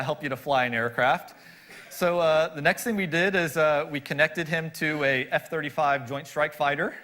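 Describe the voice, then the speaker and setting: A man giving a public presentation as a research director, speaking in a halting, explanatory way with repeated words. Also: fairly high pitch, fairly bright tone. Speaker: government research agency director giving a talk.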